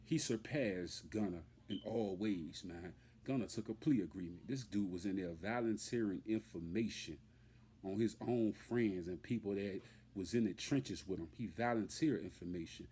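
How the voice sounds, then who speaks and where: Deep-voiced man ranting in street slang. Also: normal speed, dark tone, breathy edge. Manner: emphatic, repetitive, indignant. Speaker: hip-hop news commentator. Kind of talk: unscripted opinion rant, street slang.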